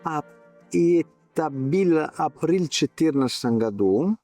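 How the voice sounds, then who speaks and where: Mellow Italian man over music. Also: fairly high pitch, expressive, dry recording. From Italy. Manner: halting, ungrammatical non-native Russian. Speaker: interviewee. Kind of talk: personal account in interview.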